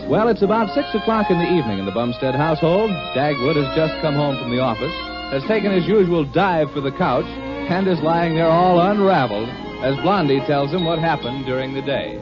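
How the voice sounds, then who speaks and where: A man's voice: fairly high pitch, animated, brisk, over loud music. A man announcing a 1950 radio sitcom in a hearty, showy manner. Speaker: show announcer. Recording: dull old radio broadcast.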